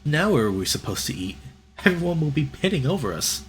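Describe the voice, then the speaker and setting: A man's voice: on the high side, big pitch swings, brisk, voice pushed up. A man voicing a cheeky, joking fox friend in a visual novel, teasing and bouncy.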